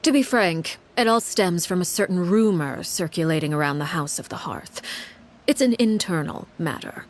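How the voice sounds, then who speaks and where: A woman playing a composed, commanding leader in a video game, speaking formally with measured, quiet authority. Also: on the low side, expressive, lightly acted. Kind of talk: dramatic video game dialogue. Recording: faint music behind the voice.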